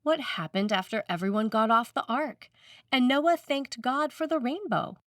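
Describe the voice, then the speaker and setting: A woman's voice: a little high, animated, moderate pace, no room sound, studio quality, recorded from a distance. A woman priest reading a scripted sermon aloud.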